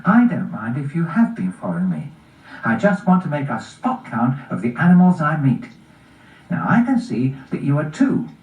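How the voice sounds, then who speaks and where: A woman's voice: deep voice, sing-song, moderate pace, a little mellow. A woman voicing a friendly, helpful animal hero in a children's story, with a polite, earnest manner. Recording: roomy, distant, faintly noisy recording.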